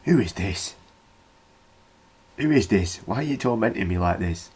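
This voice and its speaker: A man's voice: lowish voice, animated, moderate pace, darkish tone, slight background noise, close to an everyday voice. A man with a British accent playing a flustered, nervously apologetic local radio presenter who stammers and rambles in a comedy horror radio play. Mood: panicked, frightened.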